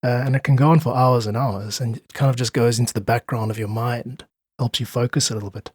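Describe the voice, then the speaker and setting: A man speaks as a guest in a casual podcast conversation. His voice is husky and sits at a medium pitch, with a thoughtful, explanatory way of talking. The sound is close and dry. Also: fairly even intonation, fast, no echo. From South Africa. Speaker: podcast guest, freelance musician.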